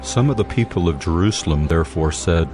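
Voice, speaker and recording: A man reading scripted narration for a dramatized audio Bible in a measured, solemn way. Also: low-pitched, lively intonation. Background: music.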